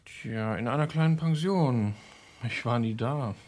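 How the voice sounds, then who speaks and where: An actor in a radio play as an evasive, smooth-talking young American impostor, polite and hesitant as he improvises excuses. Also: medium pitch, unhurried, darkish tone, close to an everyday voice. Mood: guarded, polite.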